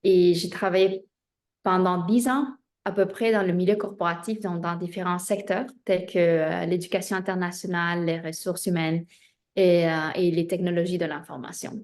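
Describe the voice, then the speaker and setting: A young woman, raised in Spain with Spanish as her mother tongue, talks about herself as a guest in an interview. Her voice sits a little on the low side, and she speaks in a measured way. She often pauses between phrases as she gathers her thoughts. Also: steady tone, darkish tone. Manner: measured, with frequent hesitations. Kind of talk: self-introduction in an interview.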